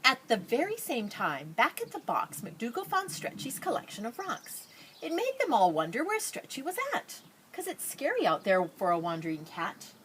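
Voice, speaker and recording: Woman reading a picture book, very animated. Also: a little high, slight background noise. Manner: drawn-out dramatic phrasing with playful asides. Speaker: storybook reader. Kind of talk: expressive children's picture-book read-aloud.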